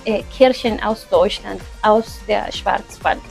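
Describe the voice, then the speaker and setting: A woman, a German teacher, answering interview questions slowly and carefully with pauses. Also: medium pitch, gravelly, darkish tone, faint music behind. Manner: slow and careful, with fillers.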